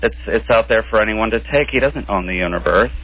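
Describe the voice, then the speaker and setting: A man's voice: lowish voice, expressive, fairly quick, slightly rough, dry recording. A man in a casual, argumentative radio conversation, speaking defensively as a guest. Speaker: radio show guest, pageant organizer and drag performer. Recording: dull lo-fi radio sound.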